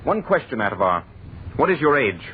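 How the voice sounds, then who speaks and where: An actor playing the terse, authoritative leader of centuries-old conspirators in an old-time radio horror drama. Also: male voice, mid-range voice, very animated, a little fast, slight background noise, close to an everyday voice. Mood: stern. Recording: narrow, muffled old radio broadcast.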